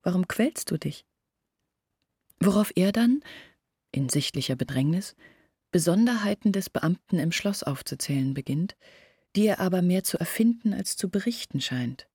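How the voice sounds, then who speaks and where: An actress playing an earnest village woman in a radio play, explaining things in a measured, husky voice. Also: lowish voice, animated, mellow, no echo, studio quality. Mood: troubled, insistent. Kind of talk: literary radio play monologue.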